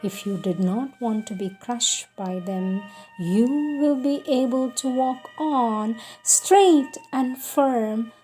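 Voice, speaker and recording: A woman reading spiritual teachings aloud as a solemn, scripted devotional piece, in a measured, earnest and instructive manner. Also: a little high, mellow, faint music behind, low-quality recording.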